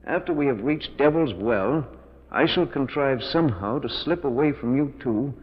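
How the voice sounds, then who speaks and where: A man's voice: mid-range voice, animated, moderate pace, natural voice. An actor playing a tense, guilty man trapped in a cave, in emphatic 1940s radio melodrama. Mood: grim, reading aloud. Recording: muffled 1940s radio recording, reverberant.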